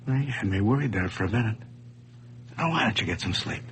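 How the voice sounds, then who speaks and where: An actor playing Larry, a reassuring friend with a dry, joking manner, in an old radio drama. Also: male voice, on the low side, quick pace, a bit bright, a little hiss or hum, close to an everyday voice. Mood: relieved. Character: wisecracking man Larry, loyal old buddy.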